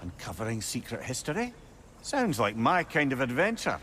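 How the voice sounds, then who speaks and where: Witty, animated talkative sidekick. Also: male voice, mid-range voice, moderate pace, a little hiss or hum. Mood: wry, genial. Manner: wry, eloquent, talkative. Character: witty, genial, talkative male companion and advisor. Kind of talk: video game character acting.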